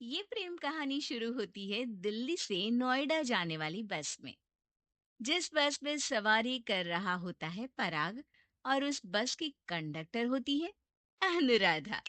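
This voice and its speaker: A woman's voice: on the high side, big pitch swings, takes it slowly, fairly bright tone, no room sound. A woman narrating a scripted moral story as storyteller.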